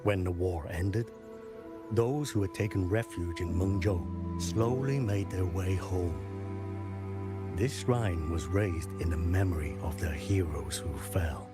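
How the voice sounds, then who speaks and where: A grave old village chief in a fantasy game. His voice is very low and unhurried, solemn yet gentle, with loud music underneath. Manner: slow, solemn, measured. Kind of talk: scripted game dialogue.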